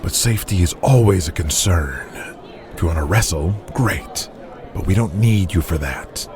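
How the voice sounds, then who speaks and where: Man acting a smooth low-voiced wrestling promoter. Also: lively intonation, noisy background. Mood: persuasive. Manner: polite, deliberate, salesman-like. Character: smooth, persuasive male wrestling promoter, courting a star. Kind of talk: audio drama character acting.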